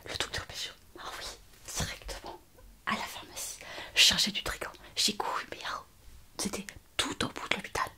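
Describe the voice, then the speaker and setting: A woman playing an excitable, caring young hospital worker in a whispered ASMR roleplay, gossipy and hesitant as she tells a story. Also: normal speed. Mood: excited, animated. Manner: breathless, hesitant storytelling to the listener.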